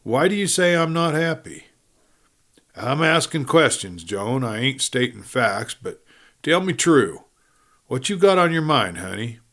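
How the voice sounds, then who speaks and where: Man voicing an anxious, gruff old rancher father. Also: medium pitch, big pitch swings, a little slow, fairly bright tone. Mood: worried, probing. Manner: urgent, demanding, folksy cowboy drawl wording.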